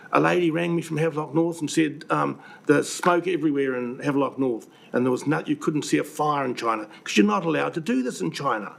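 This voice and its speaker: An older man with a New Zealand accent, croaky from the flu, presenting earnestly and indignantly to a council committee with many ums and restarts. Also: a little high. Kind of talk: public submission to a committee. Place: council meeting room. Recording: webcast with faint room noise.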